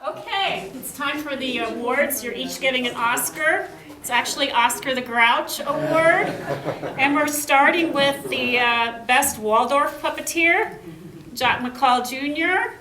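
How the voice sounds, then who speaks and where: A woman's voice: sits high, a little slow, crowd noise. A woman who is a judge, informally presenting awards in an echoey room. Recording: echoey room, loud background noise.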